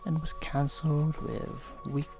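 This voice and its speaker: A man voicing a gentle, soft-spoken television painter who has turned menacing, in a horror ASMR role-play. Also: on the high side, level tone, gravelly, over music. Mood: calm. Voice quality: soft whispery delivery. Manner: slow, soothing, softly menacing. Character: gentle middle-aged male TV painter turned unhinged.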